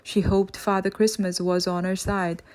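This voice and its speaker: A woman narrates a children's story with expressive delivery. Her voice has a medium pitch, and she reads in a scripted audiobook style. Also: normal speed.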